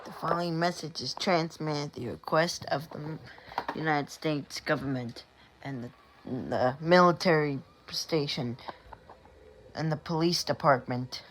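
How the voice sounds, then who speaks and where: A man gives an amateur emergency alert announcement, halting and repeating himself as he goes. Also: a little high, a bit bright, a little hiss or hum, low-quality recording.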